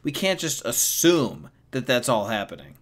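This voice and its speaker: A man's voice: mid-range voice, sing-song, moderate pace. A man hosting a casual livestream debate over voice chat, speaking in an exasperated, repetitive way. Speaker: streamer hosting a group discussion. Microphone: online voice chat.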